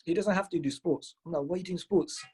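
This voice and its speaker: A young man from Mumbai with a London accent, telling stories as a podcast guest, quick and animated with lots of fillers. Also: high-pitched, husky. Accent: London English. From Mumbai, India. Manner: fast, animated, with many fillers and restarts. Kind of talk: casual interview storytelling.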